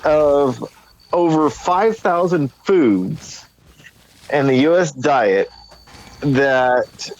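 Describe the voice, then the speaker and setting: A man's voice: fairly high pitch, animated, drawn-out words, pauses often. A man co-hosting a podcast, talking casually on a slightly dull recording. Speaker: podcast co-host.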